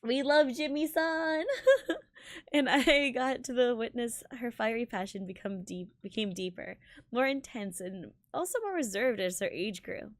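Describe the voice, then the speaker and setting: Donna che legge, suono asciutto e ravvicinato. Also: female voice, fairly high pitch, no echo, clean studio sound. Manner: giggly, halting read-aloud with stumbles. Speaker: game streamer. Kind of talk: live stream read-aloud of a visual novel.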